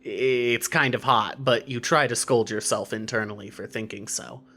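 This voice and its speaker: A man narrating scripted fiction in a measured, wry manner. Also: medium pitch, fairly even intonation, sharp tone.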